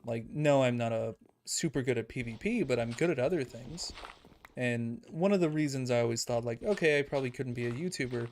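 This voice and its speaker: A young man streaming a building game, talking calmly and evenly in a soft, soothing voice he calls monotone. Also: medium pitch, fairly quick, slight background noise. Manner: calm, soft-spoken, self-described monotone. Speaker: Minecraft streamer. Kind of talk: casual livestream chat while building in a game.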